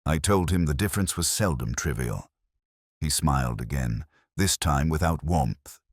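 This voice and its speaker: A man narrating a detective audio drama in the first person as a melancholy, cerebral inspector, in a measured, literary, brooding way. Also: very deep voice, lively intonation, dry recording, studio quality. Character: melancholy, cerebral older male police inspector.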